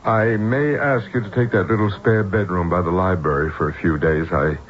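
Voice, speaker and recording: An actor playing a brisk, jumpy American businessman and husband in an old-time radio drama. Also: deep voice, steady tone, close to an everyday voice. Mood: edgy, strained. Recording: muffled, noisy old radio broadcast.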